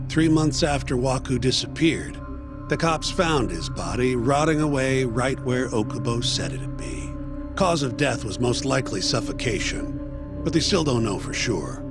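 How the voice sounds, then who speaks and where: Cynical male detective, gravelly voice, dismissive, swinging pitch, music behind. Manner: sarcastic, crude, dismissive. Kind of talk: game dub acting. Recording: game scene with music behind.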